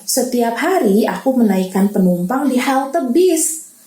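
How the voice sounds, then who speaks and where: A chipper little boy bus from a storybook, friendly and sing-song, as a woman reading aloud puts him on. His voice sits high and he takes his time. A roomy echo hangs around it. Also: animated, a little slow, dark tone. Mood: cheerful.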